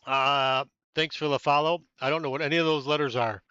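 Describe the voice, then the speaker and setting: A man's voice: fairly high pitch, fairly even intonation, fairly quick, sharp tone, clean tone, no room sound, dull audio. A man hosting a casual amateur astronomy live stream from inside a small tent, chatting with viewers, thanking them by name, rambling with many fillers, and a little stuffy-nosed.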